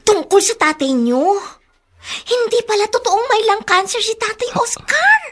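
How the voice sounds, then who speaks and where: A gossipy young Filipino housemaid in a radio drama. Her voice is piercingly high and sharp, swinging up and down. She chatters eagerly and girlishly. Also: lively intonation, a little slow, full character voice. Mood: conspiratorial, gleeful.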